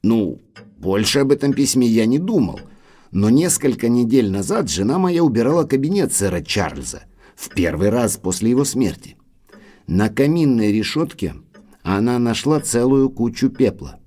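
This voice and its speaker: An actor playing a loyal, discreet middle-aged butler in an audio drama, speaking in a measured, respectful, formal way. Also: male voice, mid-range voice, very animated, dark tone. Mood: grave recounting.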